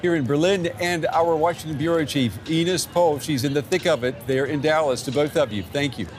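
A man anchoring a news broadcast, reading scripted links in a measured way and questioning guests. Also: fairly high pitch, soft background music. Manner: measured, scripted delivery with occasional ums in interviews.

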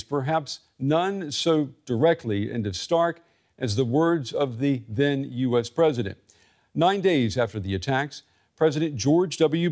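A man anchoring a news broadcast, reading scripted links in a measured way and questioning guests. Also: medium pitch, a little mellow. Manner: measured, scripted delivery with occasional ums in interviews.

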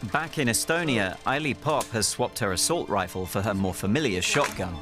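A man reading scripted documentary news narration in a measured, even delivery. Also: fairly low pitch. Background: music bed.

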